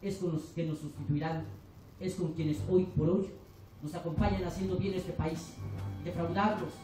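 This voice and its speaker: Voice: medium pitch, fairly even intonation, normal speed, music in the background. Impassioned teacher, Mexican accent, echoey hall. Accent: Mexican Spanish. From Chiapas, Mexico. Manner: declamatory, building rhetorical lists. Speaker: teacher and union delegate. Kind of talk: impassioned forum speech. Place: large echoey lecture hall. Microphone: distant from the recording mic. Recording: echoey hall recorded from far off.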